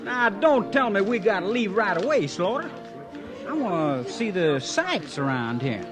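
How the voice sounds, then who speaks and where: An actor playing an eager, grumbling young cowhand in a radio western, pleading in a folksy, whiny way. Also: male voice, sits high, sing-song, measured pace, over music. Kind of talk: 1950s radio western acting. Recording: vintage radio drama recording.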